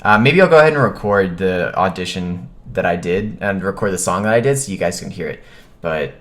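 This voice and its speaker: A man's voice: low voice, brisk. A man talking casually as a vlogger, telling a personal story and acting out the remarks he quotes. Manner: animated retelling, acts out quoted remarks.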